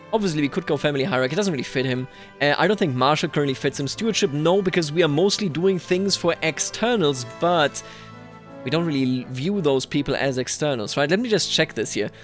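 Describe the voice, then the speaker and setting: A man hosting a video game roleplay series, giving casual commentary and thinking aloud conversationally as he plays. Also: on the high side. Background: background music.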